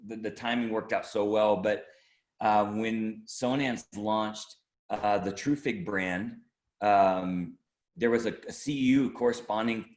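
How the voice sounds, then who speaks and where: A man speaking as a marketing director in an interview, explaining products in a halting, conversational way. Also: on the low side, roomy sound.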